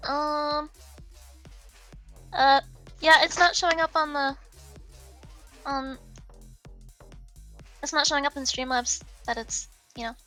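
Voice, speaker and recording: A woman's voice: sits high, fairly even intonation, takes it slowly, pauses often, bright, forceful tone. A woman chatting casually as she runs a livestream. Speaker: live streamer. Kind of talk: casual stream chat. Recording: music playing behind the voice. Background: music.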